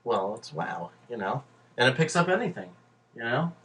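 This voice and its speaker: A man co-hosting a podcast in casual banter, joking and doing mock infomercial pitches. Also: very animated, moderate pace, a bit bright. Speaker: podcast co-host. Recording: distant mic with faint noise.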